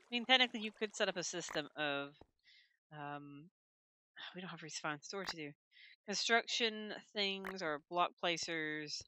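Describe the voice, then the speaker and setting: Woman gamer, bright tone, home desk mic, rambling let's-play chatter to herself. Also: deep voice, slight background noise. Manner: rambling, talks to herself while playing. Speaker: gaming channel host. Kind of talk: casual let's-play commentary. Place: her room at home. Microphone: desk microphone.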